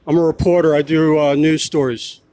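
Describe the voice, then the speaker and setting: A man's voice: fairly high pitch, little pitch movement, a little fast, mellow, dry recording. A man filming himself as a street reporter outdoors, talking in a pushy way and repeating his questions. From United States. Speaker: self-styled street reporter. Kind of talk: confrontational vlog narration.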